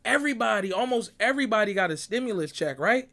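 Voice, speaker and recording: High-voiced emphatic young man from Barbados. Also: animated, quick pace, vocal fry, bright, forceful tone. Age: young adult. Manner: emphatic, preachy, peppers talk with "right?" and "bruh". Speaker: motivational speaker. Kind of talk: motivational monologue. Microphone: audio clip recording.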